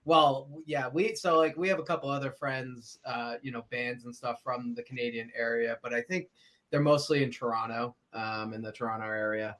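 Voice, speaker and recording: A man co-hosting a podcast, chatting casually in a relaxed way and laughing often. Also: medium pitch, normal speed. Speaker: podcast co-host.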